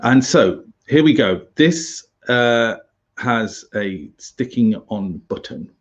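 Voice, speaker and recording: A British man giving an informal tutorial as an instructor, halting and chatty with wry asides. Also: mid-range voice, expressive, lo-fi sound. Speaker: survival instructor, presenter.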